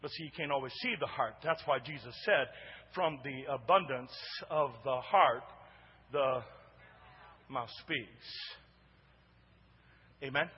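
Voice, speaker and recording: A man preaching a sermon as pastor in a church, with a big booming voice that swings from hushed asides to shouting and calls out to the congregation for responses. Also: takes it slowly, pauses often, slightly rough, big-room sound, lo-fi sound. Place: church sanctuary.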